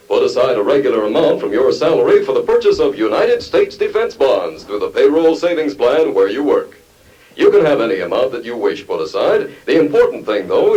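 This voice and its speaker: A man's voice: medium pitch, darkish tone. A young man reading scripted announcements in a 1950s radio style. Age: young adult. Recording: dull, distant old radio recording, music.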